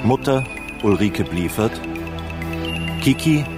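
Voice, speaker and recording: A man's voice: on the low side, expressive, slow pace. A man as announcer, reading scripted credits for a radio production. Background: music.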